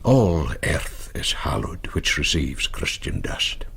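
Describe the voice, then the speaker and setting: Radio drama: loyal, humble Scottish clergyman, very gravelly and rough, bottomless pitch. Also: animated, slow pace, a bit bright, exaggerated voice. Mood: earnest. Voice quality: gravelly, husky. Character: frail, kindly Scottish minister, loyal and humble.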